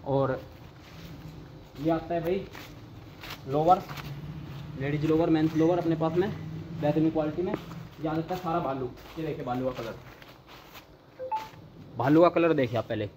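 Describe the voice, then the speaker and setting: Young male vlogger, high-pitched, pushy salesman patter, handheld phone in a big warehouse, faint background hum. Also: normal speed, long pauses. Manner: eager salesman patter, repeatedly urging viewers to look. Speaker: vlogger showing wholesale clothing stock. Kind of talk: sales walkthrough vlog. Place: large indoor warehouse full of clothing bales.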